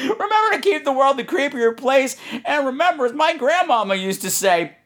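A man hosting a podcast outro in a theatrical, rambling, excitable way. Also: very high voice, moderate pace. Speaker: podcast host.

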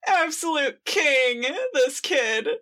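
A woman's voice: sits high, expressive, slow pace, bright, forceful tone. A woman co-hosting a podcast in casual fan discussion, with a wry, playfully exaggerated way of talking. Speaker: podcast co-host.

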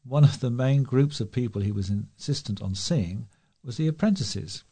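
A man's voice: medium pitch, expressive, moderate pace, mellow, slightly rough. A man volunteer reading a local news feature aloud. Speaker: volunteer newspaper reader.

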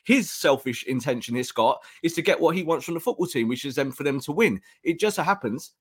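A man's voice: a little high, animated, a little fast, breathy edge. A man co-hosting a football podcast, talking earnestly with frequent restarts while losing his voice. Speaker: podcast co-host. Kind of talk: casual football fan discussion.

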